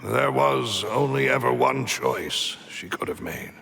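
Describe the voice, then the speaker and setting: A man's voice: mid-range voice, sing-song, unhurried, vocal fry, crowd noise, heavily acted. An actor playing a loyal, earnest male retainer in a video game, speaking formally and deferentially. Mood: grave.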